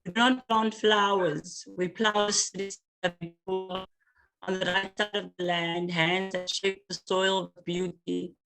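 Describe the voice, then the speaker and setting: Man reading poetry slowly. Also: sits high, flat delivery, creaky, music in the background, rough recording. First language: Afrikaans. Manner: slow, measured recitation with hesitant asides between pieces. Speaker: poet.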